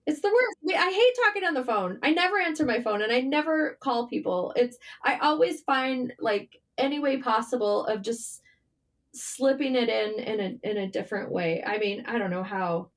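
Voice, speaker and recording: A woman's voice: fairly high pitch, expressive, moderate pace. A woman speaking as a podcast guest, a writer telling stories in a casual, conversational way and often acting out bits of remembered talk. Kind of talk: casual interview chat.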